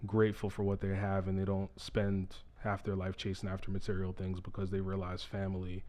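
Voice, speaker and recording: Calm, flat-toned man in his twenties. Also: low-pitched, fairly quick. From upstate New York. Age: 20s. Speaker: guest. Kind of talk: casual interview answers.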